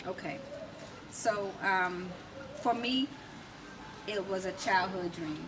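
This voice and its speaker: An American woman telling her personal story as an interview guest, emphatic and reflective, in short deliberate phrases. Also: mid-range voice. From United States. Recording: distant mic, clearly noisy background.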